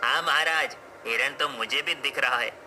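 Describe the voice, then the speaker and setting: A man's voice: medium pitch, normal speed, pushed and bright, slight background noise, rough recording. A man playing a deferential royal minister in a cartoon folk tale, addressing his king formally and respectfully. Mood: eager. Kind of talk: cartoon story acting.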